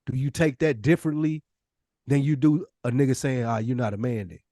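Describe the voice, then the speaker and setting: A man's voice: medium pitch, fairly quick, mellow, no echo. American male host, medium register, tight dry sound, loose conversational delivery that challenges and probes. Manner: probing, informal, poses hypotheticals. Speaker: podcast host. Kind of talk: casual discussion.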